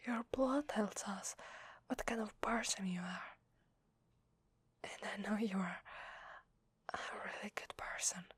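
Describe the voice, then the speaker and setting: A woman with an Italian accent voicing a shy, needy, grateful vampire lady in hesitant, breathy whispered ASMR roleplay, close to the microphone. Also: mid-range voice. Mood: tender, admiring. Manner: hesitant, soft, halting. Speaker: ASMR voice actor. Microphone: close microphone, dry.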